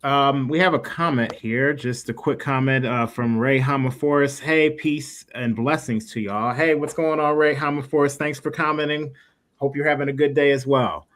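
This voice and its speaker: A man's voice: mid-range voice, steady tone, moderate pace, fairly bright tone. A man hosting a casual interview, talking in an encouraging, conversational way. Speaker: interview host.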